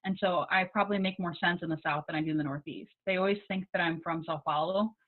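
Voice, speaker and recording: A woman's voice: fairly low pitch, steady tone, fast talker. A young American woman, a guest English teaching assistant, chatting casually with students and filling her talk with ums and likes. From United States. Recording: tinny phone-like video call audio.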